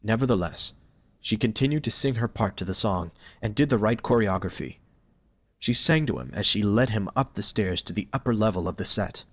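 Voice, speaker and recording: Male narrator, lowish pitch, dry studio-like sound, scripted storytelling, audio drama. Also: animated, a little fast, a bit bright, no echo.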